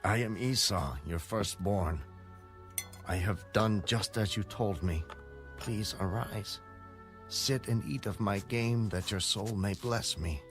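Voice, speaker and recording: A man acts out a hesitant younger son with deceit in mind, from an audio Bible drama. The voice is fairly low and the delivery earnest and formal. Soft music sits behind it. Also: slow pace. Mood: deceitful, pleading. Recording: faint background music under the voice.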